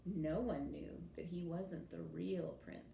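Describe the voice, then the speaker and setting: A woman reading a children's story aloud in an expressive teacher's storytelling style. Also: on the low side, takes it slowly, vocal fry, muffled recording. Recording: roomy echo with faint background noise.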